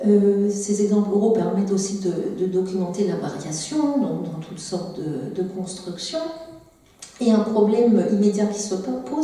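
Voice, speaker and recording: A woman's voice: lowish voice, mellow, recorded from a distance, slight background noise. A woman giving an academic talk at a symposium, hesitant, with frequent fillers and restarts. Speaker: conference speaker. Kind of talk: academic presentation. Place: large lecture hall. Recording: echoey lecture hall from afar.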